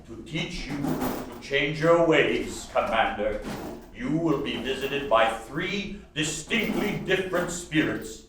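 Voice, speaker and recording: A man acting in a radio play as the doomed, solemn ghost of a dead soldier turned businessman, with a drawn-out theatrical delivery. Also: mid-range voice, animated. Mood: ominous warning. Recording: echoey, distant stage recording with noise.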